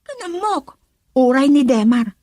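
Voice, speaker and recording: A woman's voice: high-pitched, sing-song, unhurried, warm tone, no room sound, clean studio sound. A woman playing a bossy, chatty young friend in an Ilocano radio drama, exclaiming and insisting with an Ilocano accent. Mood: gossipy.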